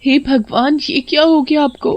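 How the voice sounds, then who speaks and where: A woman's voice: high voice, fairly even intonation, normal speed, lo-fi sound, voice pushed up, natural voice. A woman voicing a worried, supportive wife in a dramatised story, anxious yet encouraging. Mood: alarmed.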